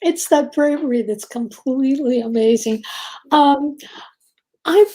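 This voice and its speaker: A woman hosting an author interview, enthusiastic and quick to laugh. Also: high-pitched, warm tone, poor audio. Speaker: interviewer host. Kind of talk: casual interview.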